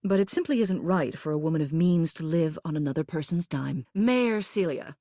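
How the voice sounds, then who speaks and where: A woman's voice: deep voice, animated, normal speed, mellow, dry recording, clean studio sound. A woman voicing a pompous older lady mayor, a living household object in a video game, who speaks in a grand, formal, theatrical manner. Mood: stately, self-assured. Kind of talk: scripted video game character lines.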